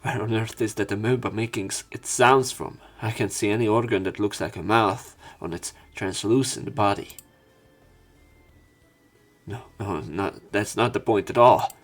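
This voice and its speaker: A man's voice: on the low side, normal speed, soft background music. A man doing a let's play, reading story narration aloud dramatically with casual commentary. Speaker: let's player.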